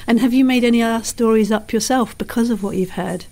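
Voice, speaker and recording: A woman's voice: a little high, fast, a little mellow. A woman interviewing children in a casual, enthusiastic and encouraging way for a podcast.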